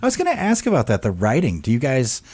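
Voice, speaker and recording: A man hosting a radio interview in a studio, asking questions in a laid-back, halting way. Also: medium pitch, wildly swooping pitch, a little mellow, husky. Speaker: radio host.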